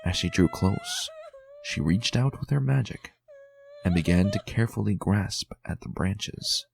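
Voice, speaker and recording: A man giving a dramatic, expressive reading of a fan story as narrator. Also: lowish voice, a little slow, gravelly, a little hiss or hum. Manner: expressive, tense and theatrical. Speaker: fan reader.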